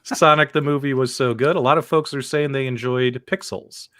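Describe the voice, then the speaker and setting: A man's voice: mid-range voice, fairly even intonation, moderate pace, fairly bright tone. A man hosting a casual live panel show, informal and conversational as he addresses viewers directly. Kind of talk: casual live-stream hosting and chat reading.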